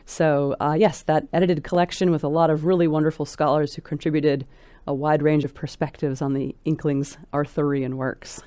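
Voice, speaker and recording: A woman's voice: low-pitched, flat delivery, warm tone, no echo. Articulate woman guest, close dry sound. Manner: articulate, precise, measured phrasing. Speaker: guest scholar. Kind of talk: thoughtful interview conversation.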